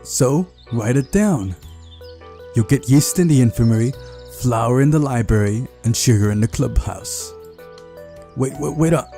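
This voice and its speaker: A man voicing a brisk, bossy, sly female camp leader in a visual novel, in a mellow voice. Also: medium pitch, expressive, faint music behind. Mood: hurried, commanding.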